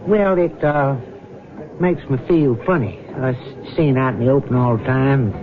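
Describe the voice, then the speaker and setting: A man's voice: medium pitch, measured pace, narrow, tinny audio, crowd noise, natural voice. An actor playing a brutish, impatient small-time tough guy with blunt, slangy talk in a 1970s radio drama. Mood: impatient, plotting. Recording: thin old radio broadcast.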